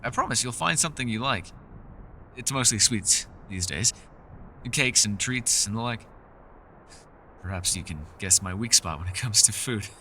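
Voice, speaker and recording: Male actor as centuries-old solitary elf, very crisp forward bright tone, swooping intonation, courteous and awkward, old-fashioned wording, fantasy audio roleplay. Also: lowish voice, lively intonation, moderate pace, long pauses, slight background noise, heavily acted. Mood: welcoming. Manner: formal, old-fashioned phrasing, apologetic, chatty. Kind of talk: fantasy ASMR roleplay voice acting.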